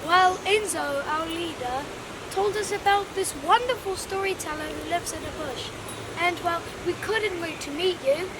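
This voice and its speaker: A small, eager puppet visitor, played by a woman, speaks politely in an extremely high voice. Loud rushing noise fills the recording behind the words. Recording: very noisy, rushing background ambience.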